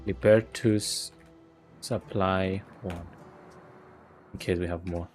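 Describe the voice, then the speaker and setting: Man, low voice, gaming commentary. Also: soft background music. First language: Greek. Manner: thinking aloud, relaxed and unhurried. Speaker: gaming video host. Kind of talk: casual gameplay commentary.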